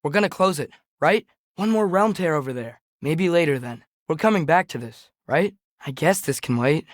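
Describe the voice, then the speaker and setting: A man's voice: fairly high pitch, animated, normal speed, no echo, lightly acted. A man acting an earnest American teenage boy adventurer, close and dry. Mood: curious. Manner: hesitant, earnest, questioning. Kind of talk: video game character dialogue.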